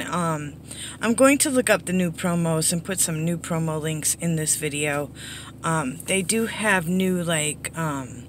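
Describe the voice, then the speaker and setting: A middle-aged woman with a hoarse, under-the-weather voice, giving a casual, rambling update to viewers in a low-key, apologetic way. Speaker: slots vlogger. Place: inside a parked car. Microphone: phone at arm's length. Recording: background noise inside a vehicle.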